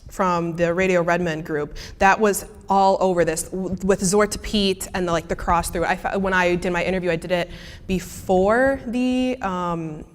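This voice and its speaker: A young woman with a Midwestern American accent, a student answering audience questions casually with many fillers and restarts. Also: mid-range voice. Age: young adult. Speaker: student presenter. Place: lecture hall. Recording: reverberant lecture hall.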